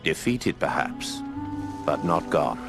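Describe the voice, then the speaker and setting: An actor playing a wise older male owl mentor in a video game, speaking in a measured, commanding way. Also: extremely low pitch, sing-song, gravelly, a bit bright, full character voice. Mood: solemn. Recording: game audio with music behind.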